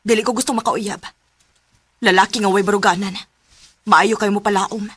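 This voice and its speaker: A woman's voice: medium pitch, fairly even intonation, moderate pace, fairly bright tone, slightly rough, dry recording. A woman with a Filipino Cebuano accent playing a proud, protective and stubborn young daughter in a radio drama. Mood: bitter, resolute. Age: young adult.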